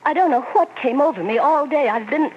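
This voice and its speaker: An actress playing a high-strung, frightened American wife in 1940s radio melodrama, pleading anxiously. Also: female voice, animated, a little fast. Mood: relieved, fretful. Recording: muffled, hissy old radio recording.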